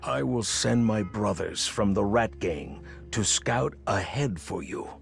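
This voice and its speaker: Slow, gravelly rat gang boss. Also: male voice, lowish voice, lively intonation, faint music behind, heavily acted. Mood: persuasive. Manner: measured, calculating. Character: shrewd, grave animal gang boss of rats. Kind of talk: video game voice acting.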